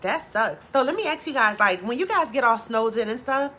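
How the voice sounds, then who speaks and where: Soft low-voiced woman chatting away on a live stream, lots of "like", swears casually, faint hiss behind her. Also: lively intonation, a little fast. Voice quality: low natural voice. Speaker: tarot reader hosting a live stream.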